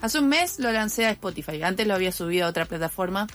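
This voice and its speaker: A woman's voice: mid-range voice, lively intonation, fast, fairly bright tone, soft background music. A woman musician, a guest on a radio show, easygoing and hesitant with lots of fillers. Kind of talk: radio interview. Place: radio studio.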